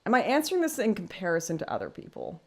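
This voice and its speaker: Woman, 20s, deep voice, candid and self-deprecating, casual livestream host. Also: wildly swooping pitch, fast, darkish tone. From Canada. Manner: chatty, frank, self-deprecating, thinks aloud with restarts. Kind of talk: casual livestream chat reading super chats.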